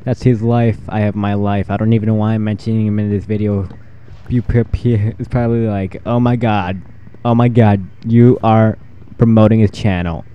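A fourteen-year-old boy with a freshly deepened voice, rambling and self-deprecating while giving casual commentary over his gameplay. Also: steady tone, moderate pace, lo-fi sound, slight background noise. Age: 14, teenage boy with newly deepened voice. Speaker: gaming channel host.

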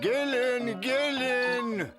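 A man playing a big-hearted gentleman showman in a children's cartoon, with a drawn-out delivery over music. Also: extremely high pitch, exaggerated voice. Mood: showy, teasing. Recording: loud music behind the voice.

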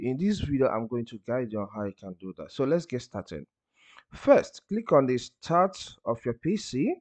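A man presenting a step-by-step software tutorial, speaking in short instructional phrases with emphatic openings. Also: mid-range voice.

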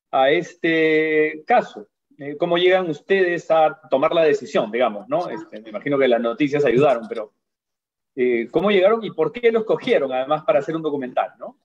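A man's voice: fairly high pitch, normal speed, highs cut off. A man with a Peruvian accent, a psychologist, asking interview questions over a video call, hesitant and full of fillers. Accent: Peruvian Spanish. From Peru. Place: home room, video call.

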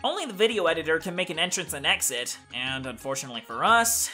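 Easygoing joker artist for cartoon comedy played by a man, bright, lively pitch, flippant. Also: fast, faint music behind. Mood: casual. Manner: flippant, casual. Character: breezy, joking male cartoon artist. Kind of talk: fan cartoon comedy voice acting.

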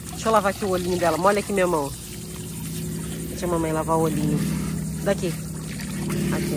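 A woman's voice: on the low side, very noisy. A Brazilian woman chatting to her viewers on a casual vlog, moving between outdoors and a car at a campground. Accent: Brazilian Portuguese. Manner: chatty, addresses viewers directly. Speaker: vlogger.

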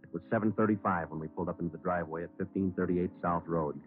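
An actor playing a terse, businesslike police sergeant in a 1950s radio drama, clipped, deadpan and matter-of-fact. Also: male voice, deep voice, very fast, lightly acted. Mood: flat, factual. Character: terse, businesslike male police detective sergeant who narrates. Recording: muffled old radio recording with music.

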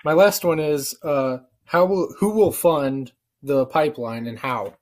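A man's voice: fairly high pitch, a little slow. A young American man giving casual, slangy commentary in an amused manner. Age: young adult. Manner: amused, slangy, chuckling through remarks. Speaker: prank-calling vlogger. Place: small living room, indoors. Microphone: webcam at arm's length.

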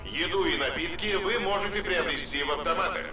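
A man's voice: a little high, brisk, over music, close to an everyday voice. An actor voicing an impersonal, official male announcement system aboard a ship in a video game, clipped and formal.

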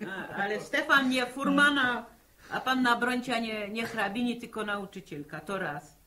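A scolding farm mother in a vintage radio play. Her voice sits fairly high, and she grumbles in an earthy, folksy way, blunt and practical. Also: normal speed, bright, forceful tone. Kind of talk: 1960s Polish radio drama acting. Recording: 1960 archive radio drama recording.

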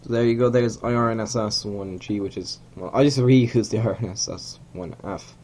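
A young Hungarian man with a fairly low voice talks through a simulated rocket launch from his desk at home. He rambles, corrects himself mid-sentence and tosses in chuckling asides, with a faint hum behind him. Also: fairly quick, a little mellow. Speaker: gamer narrating a rocket launch. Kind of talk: casual game commentary. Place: small room at home.

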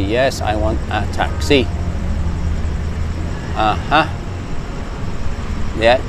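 Older guy on the phone outside at night, dry and amused, traffic noise behind. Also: mid-range voice. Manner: dry, bemused, laughs often. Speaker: comedy show regular. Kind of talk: comic phone sketch. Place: dark outdoor seating at night.

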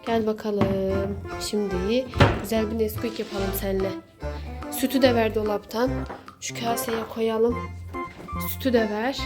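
A woman playing a mother in a children's skit video, instructing, exclaiming and chiding as she goes. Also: medium pitch. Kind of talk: play-acted family skit with child.

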